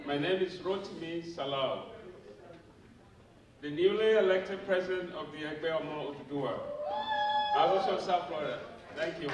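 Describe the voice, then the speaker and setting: High-voiced man, formal gala address, roomy distant hall with crowd. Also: very noisy. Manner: formal, measured, ceremonious thanks. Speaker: association president. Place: large event clubhouse hall. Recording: echoey hall from far off, crowd. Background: audience crowd.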